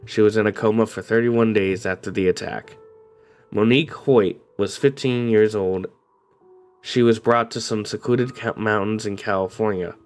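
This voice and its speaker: A man with a low voice narrates a true crime story in a somber, steady way. His voice is slightly raspy from a cold that is just starting. Soft music plays faintly underneath. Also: moderate pace. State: coming down with a cold. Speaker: host.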